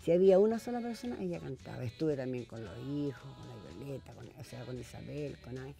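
A woman's voice: extremely low pitch, lively intonation, fast, gravelly, music in the background. A woman in her seventies from southern Chile with a Chilean accent, chatting casually and plainly as an interview guest. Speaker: folk singer, interview guest. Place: small television studio.

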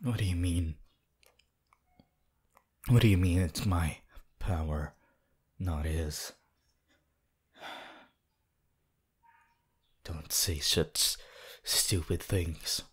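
A man voicing a cold, proud, brooding teenage hero student in an ASMR audio roleplay, husky and breathy, often near a whisper, with terse, deliberate delivery. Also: sits low, steady tone, exaggerated voice. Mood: irritated. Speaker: roleplay voice actor.

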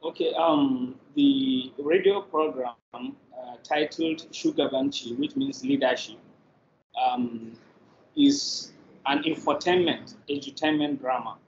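A man's voice: mid-range voice, slow. A middle-aged man with a Nigerian accent explaining his work as an interview guest on a video call. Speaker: general manager, interview guest. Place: indoors in an office room on a video call. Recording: dull, echoey, faintly noisy video call.

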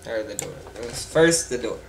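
Young guy narrating his own gameplay, a little stuffed up from a cold, gravelly and offhand, recorded on a noisy home mic with keyboard clicks. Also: medium pitch, expressive, moderate pace. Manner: rambling, offhand, swears casually. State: congested from a cold. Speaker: gameplay video maker. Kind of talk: casual gameplay commentary.

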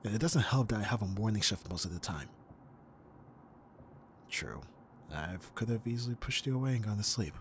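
A man acts out an easygoing young guy in an intimate audio roleplay. His voice sits fairly low, and he chats casually and teasingly, like a devoted best friend up late. Faint background noise runs under the voice. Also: animated, moderate pace, long pauses, natural voice. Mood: playful, teasing. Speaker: ASMR roleplay voice actor. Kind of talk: ASMR boyfriend roleplay, casual intimate chat.

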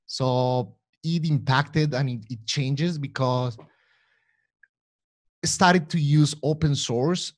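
A man speaking as a panelist on a video call, measured and filler-heavy, on a headset mic in a home studio room. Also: mid-range voice, dry recording. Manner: measured, uses many fillers. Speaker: panelist, developer.

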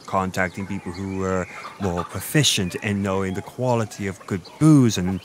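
A male actor plays a flirty Irish innkeeper and former merchant with a lowish voice. He rambles and boasts in an intimate audio roleplay, pausing to think as he tells his tale. Outdoor ambience with birdsong sits clearly behind him. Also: fairly even intonation, slight background noise, natural voice. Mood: proud, animated storytelling. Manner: flirty, chatty, meandering storyteller with thoughtful pauses.

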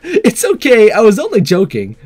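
Guy doing super enthusiastic anime schoolgirl, high and chatty, friendly visual novel character. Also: wildly swooping pitch, normal speed, darkish tone, husky, faint music behind, heavily acted. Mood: cheerful, friendly. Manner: eager, chirpy, chatty.

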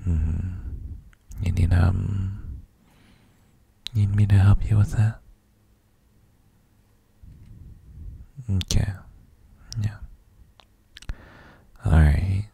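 A man playing a gentle, doting boyfriend in a sleep ASMR roleplay, murmuring soft reassurances in a breathy, gravelly near-whisper with hesitant hums. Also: low voice, steady tone, very slow, long pauses, exaggerated voice. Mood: tender, concerned.

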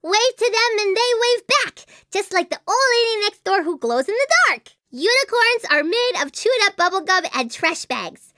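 Game role: chatty, dreamy little girl, very animated delivery, dry close recording. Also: very high voice, normal speed, no echo, exaggerated voice. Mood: playful, whimsical. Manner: whimsical, earnest, childlike wondering. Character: imaginative, chatty little girl, dreamy about unicorns and princesses. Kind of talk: animated video game character voice acting.

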